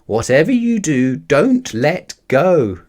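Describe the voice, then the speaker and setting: A man's voice: on the high side, big pitch swings, a little mellow, pitched above the performer's own voice. Urgent, commanding circus ringmaster acted by a man. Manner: calling out urgently, drawn-out words.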